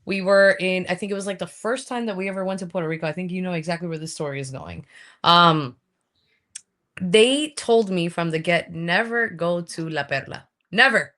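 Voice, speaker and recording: A woman with a bright, clear voice tells a story from the road. She talks casually and animatedly, with many "um"s and bursts of laughter. She is from Colombia. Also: fairly low pitch, moderate pace. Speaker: wrestler guest.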